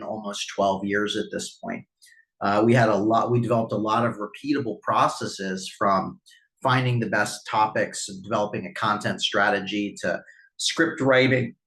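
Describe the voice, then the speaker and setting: A man's voice: on the low side, fairly bright tone. A man, a company CEO, hosting a casual online town hall meeting, friendly and rambling with many fillers.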